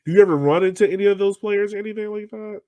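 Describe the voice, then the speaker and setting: A man's voice: high-pitched, rapid-fire, darkish tone, dry recording, highs cut off. Casual male host, very dry sound. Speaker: podcast host. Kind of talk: casual interview chat.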